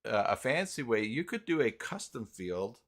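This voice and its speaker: A man hosting a casual live software tutorial, talking haltingly and muttering to himself as he works through things on screen. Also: mid-range voice, big pitch swings. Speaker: live stream host, software tutorial presenter. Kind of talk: casual unscripted screen-share walkthrough.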